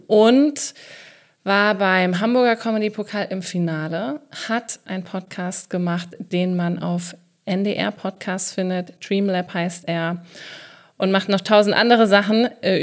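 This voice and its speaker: A woman from southern Hesse hosting a podcast in casual chat, chatty and quick to laugh, with many fillers. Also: fairly low pitch. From southern Hesse, Germany. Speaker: podcast host.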